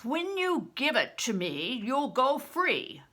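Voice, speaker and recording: Older woman as a forceful, menacing medieval steward. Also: high voice, big pitch swings, slow pace, voice pushed up, exaggerated voice. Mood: menacing, angry. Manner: commanding, clipped demands. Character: hostile, threatening male steward, medieval villain.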